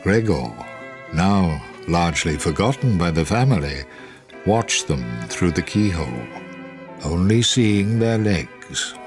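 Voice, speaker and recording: A male narrator tells a radio drama story in a low voice with lively, wide-moving intonation. Violin music plays clearly behind his scripted storytelling. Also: slow.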